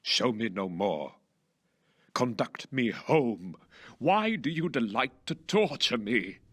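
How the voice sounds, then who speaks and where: A man's voice: medium pitch, big pitch swings, slow, fairly bright tone, exaggerated voice. A man voicing a tormented old miser in a classic storybook tale, anguished and imploring. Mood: anguished pleading. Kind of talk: dramatised audiobook reading of a classic tale.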